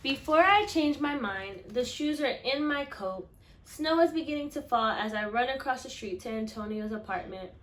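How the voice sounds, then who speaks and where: Woman doing an animated kids book read-aloud, highish voice, echoey room, stops to ask questions. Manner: animated, acting out dialogue and pausing to question listeners. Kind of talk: expressive children's picture book read-aloud with questions to listeners.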